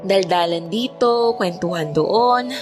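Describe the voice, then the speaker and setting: A woman's voice: fairly low pitch, slow pace, music in the background. A woman with a Filipino accent acting a reflective young woman who narrates her own story in an audio drama. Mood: reflective, fond.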